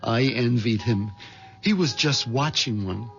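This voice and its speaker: A man's voice: mid-range voice, measured pace, natural voice. A man acting a nervous, hesitant American husband caught up in a murder plot, in a 1970s radio drama. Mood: grim, confessional. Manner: hesitant, stammering under pressure. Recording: dull vintage radio recording with music behind.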